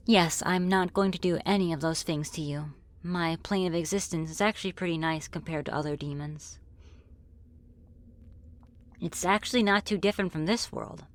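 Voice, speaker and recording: A woman's voice: on the low side, natural voice. A woman voicing a friendly, teasing female demon in an ASMR roleplay, with casual, politely menacing delivery. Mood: amused, reassuring. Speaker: roleplay voice performer. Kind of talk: ASMR roleplay, scripted one-sided dialogue.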